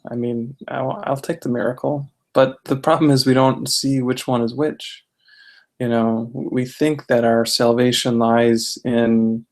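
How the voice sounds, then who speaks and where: A man's voice: mid-range voice, a little mellow. A man in a casual spiritual discussion, talking conversationally with a self-deprecating touch. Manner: conversational, many "you know" fillers, self-deprecating.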